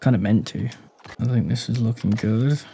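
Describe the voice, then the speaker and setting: A man giving casual commentary on a game build, speaking deliberately low and quiet while he thinks aloud and asks the viewer questions. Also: creaky, slight background noise. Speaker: gaming YouTuber.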